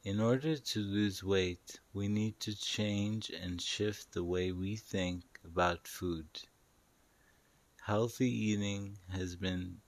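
A man's voice: fairly low pitch, steady tone, slow pace, dry recording. A man narrating a guided meditation in a soothing, instructive, hypnotic manner, repeating short phrases.

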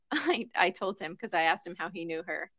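Podcast co-host, woman, bright and crisp, dry sound, chatty. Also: on the low side, lively intonation, normal speed, dry recording. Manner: chatty, laughs often. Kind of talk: casual chat.

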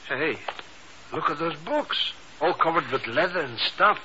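An actor playing an excitable, greedy, dim sidekick who chatters and stammers eagerly in a 1950s radio drama. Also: male voice, fairly high pitch, unhurried. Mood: eager, curious. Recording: muffled, noisy early-1950s radio recording.

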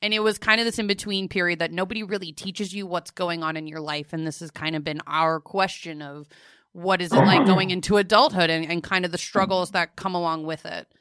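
A woman's voice: fairly low pitch, fairly even intonation, a little fast, fairly bright tone, clear, resonant tone, no room sound, clean studio sound. A woman in her twenties co-hosting a podcast, chatty and jokey in casual talk. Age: 20s. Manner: chatty, jokey, laughs often. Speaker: podcast co-host. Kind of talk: casual chat.

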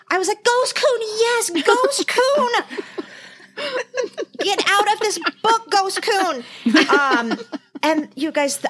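A middle-aged woman hosting a casual podcast chat, telling things in an emphatic, expressive way. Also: medium pitch. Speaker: podcast host.

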